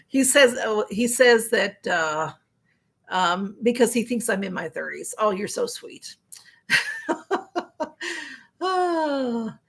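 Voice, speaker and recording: Chatty woman in her sixties, husky voice. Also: fairly high pitch, sing-song, slow pace, long pauses. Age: 60s. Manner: chatty, rambling, laughs often, many fillers. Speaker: livestream host. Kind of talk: casual live-stream chat reading viewer comments.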